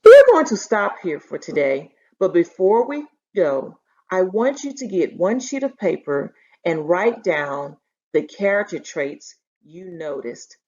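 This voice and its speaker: A woman teacher reading a children's novel aloud in an animated storytelling manner, in a dull lo-fi recording. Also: fairly low pitch, measured pace. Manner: animated storytelling, putting feeling into dialogue. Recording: dull lo-fi sound.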